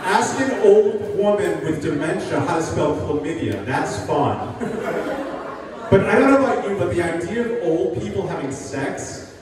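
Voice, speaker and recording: Older male stand-up, high sing-song delivery, recorded from far off in a reverberant hall with a crowd laughing. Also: very animated, measured pace. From New Jersey. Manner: sing-songy, talks as if everything's a question. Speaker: stand-up comedian. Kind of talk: stand-up comedy set. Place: live venue with an audience. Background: audience laughter.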